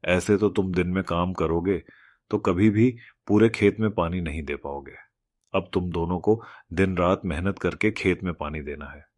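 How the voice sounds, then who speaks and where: A cruel, miserly village landowner, acted by a man for a cartoon moral tale. The voice is low and very dry, with a domineering, scolding delivery that brooks no argument. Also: fairly even intonation, moderate pace, a little mellow, dry recording, lightly acted. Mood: scolding, demanding. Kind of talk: Hindi moral cartoon story acting.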